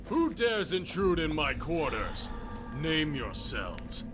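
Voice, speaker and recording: High-pitched haughty legion officer acted by a man. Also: sing-song, slow pace, music in the background. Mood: indignant threatening. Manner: imperious, formal, theatrical. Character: haughty, threatened Roman-style legion officer, a corrupt slaver. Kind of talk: video game character dialogue.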